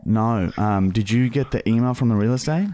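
An Australian man, perhaps in his thirties, making a casual prank phone call as a podcast host, talking in a sweary, halting, matter-of-fact way into a close studio mic. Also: medium pitch, warm tone, no room sound. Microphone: close podcast microphone on boom arm.

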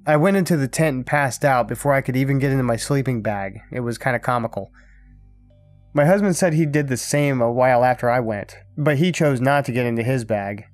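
A man reading a first-person scary camping story aloud in a calm, even storytelling manner. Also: mid-range voice, dry recording. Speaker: narrator. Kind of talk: scripted horror story narration.

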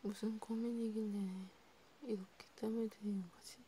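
A woman playing a gentle, patient young vampire counselor in a halting, half-whispered ASMR roleplay, speaking softly and reassuringly. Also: mid-range voice, steady tone, slight background noise, natural voice. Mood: patient, soothing. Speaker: amateur ASMR creator.

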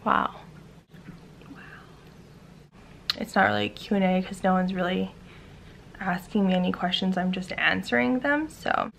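A young American woman in her mid-twenties chats casually to a camera indoors. Her voice sits at a middling pitch and is heavy with vocal fry. She sounds informal and a little hesitant, with faint noise in the room behind her. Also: big pitch swings, measured pace, pauses often, a bit bright, poor audio. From Hawaii, United States. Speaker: vlogger.

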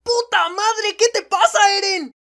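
Woman doing whiny little anime boy, super high voice, dramatic and pleading, parody dub. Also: measured pace, fairly bright tone, heavily acted.

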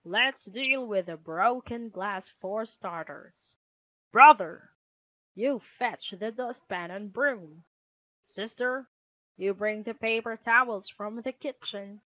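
Woman playing papa bear, storybook, firm, practical, instructing, forceful. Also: mid-range voice, slow, dry recording, low-quality recording. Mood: brisk, directing. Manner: firm, matter-of-fact, instructing.

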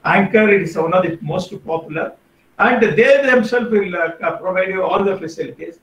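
A man with an Indian English accent explains things in an online workshop, his speech halting and full of fillers. His voice sits high for a man. He sounds set back from the mic in a roomy, echoey space, as on a video call. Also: a bit bright, distant mic, a little hiss or hum. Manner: hesitant, many fillers and restarts. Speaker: guest speaker on podcasting.

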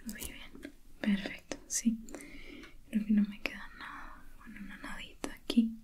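Slow, whispering ASMR woman. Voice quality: soft breathy whisper. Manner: gentle, reassuring, uses affectionate diminutives. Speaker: ASMR creator playing a spa facialist. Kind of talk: whispered ASMR spa roleplay.

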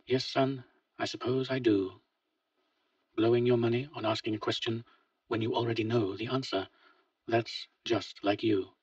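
Brief: male reader as a weary, blunt older father, husky, soft-spoken, distant lo-fi take. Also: mid-range voice, steady tone, normal speed, no echo, voice pushed up, natural voice. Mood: tender. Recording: dull lo-fi, distant mic.

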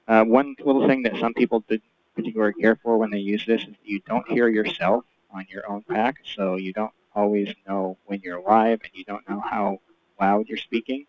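A man's voice: lowish voice, steady tone, moderate pace, crowd noise. A man in his forties explaining and demonstrating through an intercom headset that sounds like a telephone. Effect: wireless intercom, telephone-like. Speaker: product reviewer. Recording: dull telephone-like wireless intercom feed.

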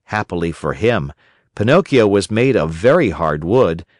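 Expressive male storyteller, lively intonation. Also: low-pitched, normal speed, dry recording, studio quality. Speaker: audiobook narrator. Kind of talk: scripted children's story narration.

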